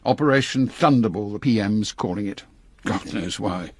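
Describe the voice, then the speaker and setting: A man playing a brisk, authoritative older British intelligence chief in a radio drama, with an English accent and a clipped, commanding delivery. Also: on the low side, no room sound, natural voice. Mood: businesslike, stern.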